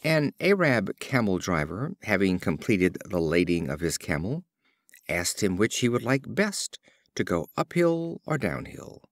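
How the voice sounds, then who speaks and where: Guy reading fables for an audiobook, really lively intonation, dry close recording. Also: fairly low pitch, unhurried, dry recording. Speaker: audiobook narrator. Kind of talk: expressive scripted storybook narration.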